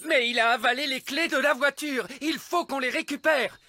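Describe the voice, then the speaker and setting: A man playing an excitable, proud and impatient elf father in a cartoon, with a blustery, exclamatory delivery. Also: very high voice, normal speed, very noisy. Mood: flustered. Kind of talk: animated cartoon dub.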